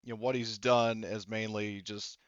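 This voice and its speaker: A man with a low, smooth voice runs a tabletop game as game master. He talks conversationally, rambling through his narration with lots of fillers and casual swearing. The sound is dry and close, a little dull. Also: level tone, normal speed, no room sound.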